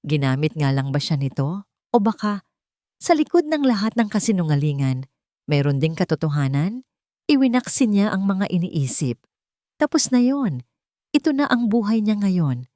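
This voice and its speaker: A woman narrating a scripted romance story in a slow, dramatic storytelling manner. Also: medium pitch, big pitch swings, mellow, no room sound, studio quality.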